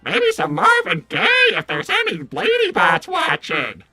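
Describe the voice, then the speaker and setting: A man voicing a boastful, dim, excitable male cartoon robot in a brash, showy livestream roleplay, with stuttering repeats. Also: extremely high pitch, unhurried, faint music behind, exaggerated voice. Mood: boastful, cheeky. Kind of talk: improvised in-character livestream roleplay.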